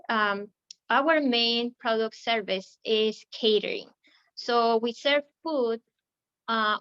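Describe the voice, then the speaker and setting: A woman from Ecuador with an Ecuadorian Spanish accent, presenting as a recruiting manager on a webinar. She speaks very slowly and haltingly, with a bright, smooth, fairly high voice. The video call audio is muffled and lo-fi. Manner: slow and halting, many fillers. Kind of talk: presenting company slides on a webinar.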